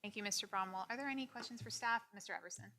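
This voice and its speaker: A woman chairing a commission meeting, running procedure in a brisk, orderly way. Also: mid-range voice, bright, forceful tone, slight background noise.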